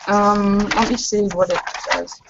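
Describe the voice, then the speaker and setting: A woman's voice: mid-range voice, steady tone, unhurried, highs cut off, background noise. A woman playing a tabletop roleplaying game, chatting informally as she explains her character's moves. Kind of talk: casual game chat.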